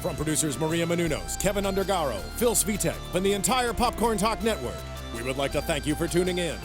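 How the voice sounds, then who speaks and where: A man's voice: fairly high pitch, expressive, fast. A man reading a scripted outro as a network announcer, with music behind him. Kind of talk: scripted promotional outro narration. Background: loud music.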